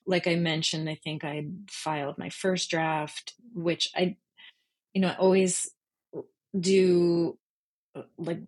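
A woman's voice: on the low side, little pitch movement, slow pace, long pauses, a little mellow, husky. A woman speaking as a journalist guest in a casual, reflective interview, thoughtful and candid about her own work.

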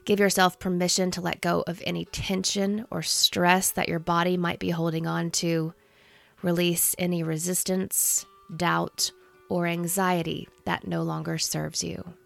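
A woman in her thirties leading a guided meditation in a soothing, gentle, unhurried manner. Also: fairly low pitch, level tone, vocal fry, faint music behind. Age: 30s.

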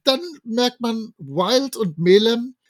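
A man's voice: very high-pitched, expressive, slow. A man co-hosting a podcast, casually retelling and discussing a story with enthusiasm. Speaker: podcast co-host.

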